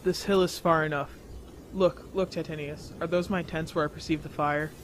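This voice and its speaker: A man's voice: fairly high pitch, fairly even intonation, normal speed, slight background noise, natural voice. A man playing a proud, despairing Roman general in a declamatory radio Shakespeare drama. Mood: wary, commanding. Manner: declamatory verse delivery. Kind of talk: old-time radio Shakespeare acting.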